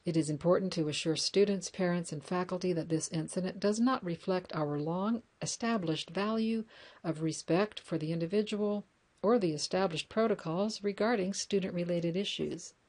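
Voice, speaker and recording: A woman's voice: on the low side, moderate pace, darkish tone. A woman reading news articles aloud, stopping now and then to correct herself with dry asides. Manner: wry asides. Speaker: news reader.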